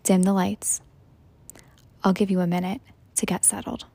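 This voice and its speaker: Female meditation guide: hushed and breathy, unhurried phrases with long pauses, level delivery. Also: on the low side, flat delivery, dry recording. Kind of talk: slow guided meditation narration.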